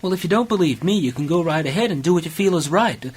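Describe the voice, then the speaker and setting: A woman's voice: on the low side, lively intonation, fast, darkish tone, slightly rough. A woman playing a cautious, secretive investigator in an amateur radio play, insistent and explanatory. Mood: explaining, persuasive. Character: cautious, secretive female investigator spying on a cult.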